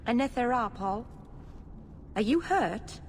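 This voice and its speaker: An actress playing an earnest young female elf mage, gentle but stubborn, in a fantasy video game. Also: fairly high pitch, lively intonation, takes it slowly, slight background noise. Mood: worried, urgent.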